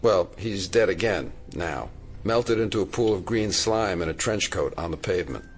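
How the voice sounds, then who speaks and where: A man playing a dry, sardonic FBI agent in an audio drama, deadpan and full of probing questions. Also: sits low, normal speed. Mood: deadpan investigating.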